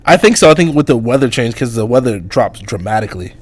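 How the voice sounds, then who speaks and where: A man hosting a casual sports podcast, talking through a hoarse voice in a loose, rambling way with lots of fillers. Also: mid-range voice, animated, fast, dry recording. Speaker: podcast host.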